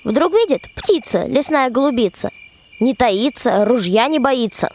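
A woman's voice: fairly high pitch, expressive, unhurried, darkish tone, a little hiss or hum. A young woman narrating a rhymed folk tale as the storyteller of a radio play.

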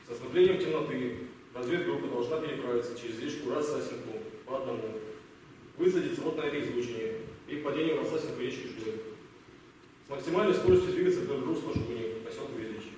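A man's voice: medium pitch, fast, a little mellow, natural voice. A man acting as a blunt, doubting wartime army captain with a clipped military delivery in a radio play. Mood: dutiful, clipped. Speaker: amateur stage actor. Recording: echoey hall, distant mic, faint noise.

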